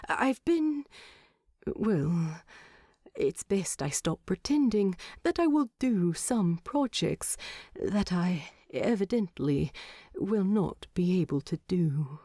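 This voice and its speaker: A woman acts out a wry, weary male hobbit in a fantasy tale. He is fussy and teasing, scoffing in a dry way. His pitch moves about a lot and the pace is unhurried. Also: expressive, warm tone, dry recording. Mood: weary, resigned. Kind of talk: fan fiction narration with character voices.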